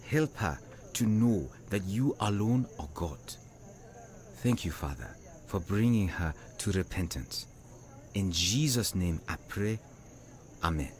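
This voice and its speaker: A man's voice: low-pitched, animated, slow pace, pauses often, a little mellow. An actor playing an earnest, weary evangelist who tells his life story in a radio drama. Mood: gentle, weary. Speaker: actor narrating and playing a preacher. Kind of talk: radio drama acting and first-person narration.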